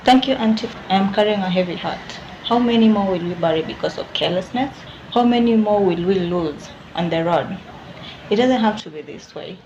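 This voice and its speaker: A woman's voice: medium pitch, a little fast, a little mellow, muffled recording, natural voice. Woman playing an earnest, cautioning woman, faint noise behind. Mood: grieving, pleading. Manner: admonishing, earnest. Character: cautious, earnest woman warning a reckless rider. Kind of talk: radio drama acting.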